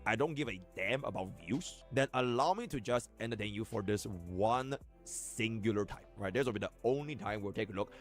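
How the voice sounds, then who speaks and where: Casual lowish-voiced man over music. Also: a little fast. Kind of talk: casual commentary.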